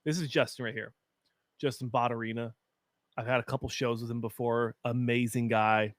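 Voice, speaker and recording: A young man with a deep voice, chatting casually and giving opinions while hosting a live-stream watch party. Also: little pitch movement, moderate pace, no room sound. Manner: chatty, opinionated, frequent fillers.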